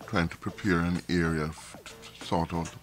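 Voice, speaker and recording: Deep-voiced man rambling on a live stream. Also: flat delivery, moderate pace, soft background music. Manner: rambling, repeats words and restarts often. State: nasal and throat irritated from dust. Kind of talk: casual monologue to viewers.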